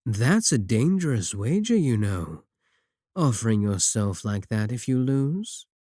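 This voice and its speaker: A man playing a sly, sadistic warlock in a roleplay audio drama, smug and possessive, with taunting, deliberate phrasing. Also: medium pitch, very animated, no room sound, studio quality. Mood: sly, bargaining. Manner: taunting, drawn-out, deliberate phrasing with dark chuckles. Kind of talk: scripted roleplay audio drama, spoken to the listener.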